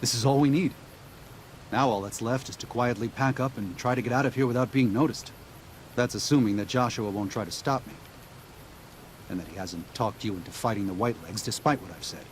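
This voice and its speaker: An actor playing an earnest, weary pacifist missionary in a video game, moralising in a pleading manner. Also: male voice, medium pitch, a little fast, a little hiss or hum, lightly acted. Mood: weary, resigned.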